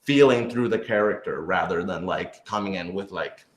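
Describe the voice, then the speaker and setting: A low-pitched man talks in a roomy, echoing space. He speaks as a writer on a casual Q&A panel, rambling and working out his thoughts aloud as he goes. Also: normal speed, a little hiss or hum. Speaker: writer and producer of an audio drama. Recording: roomy, echoey room sound.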